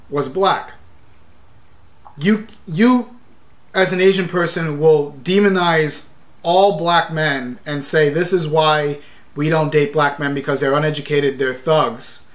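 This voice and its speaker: A man's voice: on the high side, a bit bright. A man hosting a podcast interview who builds a long, argumentative point in response to his guest. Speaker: podcast host.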